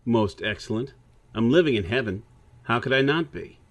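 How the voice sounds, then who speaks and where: A man's voice: medium pitch, lively intonation, moderate pace, a bit bright, close to an everyday voice. An actor playing a laid-back male buddy in an audio drama, teasing and sardonic in casual dialogue. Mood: teasing, friendly. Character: laid-back, teasing old high-school buddy, male video analyst.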